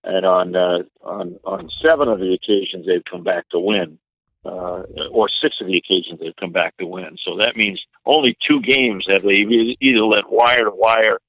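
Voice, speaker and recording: Male sports announcer as interview guest, lowish voice, measured and hesitant analysis, thin phone-quality sound. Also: creaky, dry recording. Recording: narrow, tinny phone-like line.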